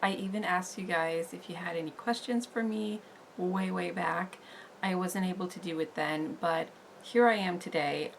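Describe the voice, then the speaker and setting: A Norwegian woman in her thirties with a Norwegian accent, chatting casually on her beauty channel, unhurried and full of "like" fillers. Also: fairly low pitch, a little hiss or hum. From Norway. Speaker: beauty vlogger. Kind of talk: casual get-to-know-me chat.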